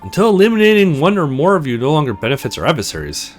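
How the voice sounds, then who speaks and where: A man voicing a shrewd, quietly vengeful older lawyer in a visual novel, speaking formally and deliberately. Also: fairly high pitch, very animated, soft background music. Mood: grim, resolute.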